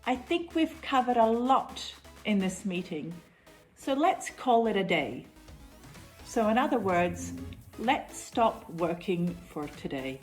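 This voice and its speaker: Slow, measured New woman teacher. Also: medium pitch, big pitch swings, faint music behind. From New Zealand. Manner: clear, measured explanations with repeated examples. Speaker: English teacher. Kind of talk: online English lesson.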